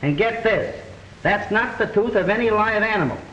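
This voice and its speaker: A high-voiced, animated police detective out of a vintage mystery picture. He is brash and snappy, quick to wisecrack and easily exasperated. The old soundtrack has some background noise. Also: lo-fi sound. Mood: urgent, explaining. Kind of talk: 1930s-40s mystery film acting.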